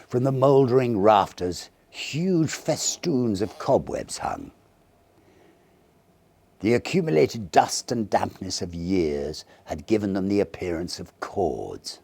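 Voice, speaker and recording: Very deep, gravelly male storyteller. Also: dry recording. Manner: theatrical, expressive, deliberate phrasing. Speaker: audiobook narrator and reader. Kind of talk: dramatic reading of a Victorian ghost story.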